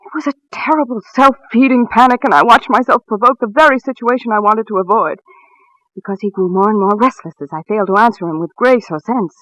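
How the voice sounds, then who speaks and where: A woman's voice: fairly high pitch, fairly bright tone, dry recording, natural voice, low-quality recording. An actress playing a nervous married woman in 1940s radio drama, frightened and hesitant, on a vintage recording. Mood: haunted, tense. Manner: hesitant, halting, repeating words.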